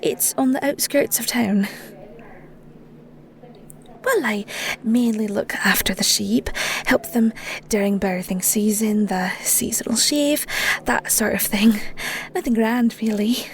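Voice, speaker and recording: A shy young Scottish farm lass, acted by a woman, sweet and easily flustered. Her voice sits on the high side and she takes her time, stumbling over words in a close, intimate audio roleplay. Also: takes it slowly. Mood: shy, bashful. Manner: bashful, stammering, rambling, giggly.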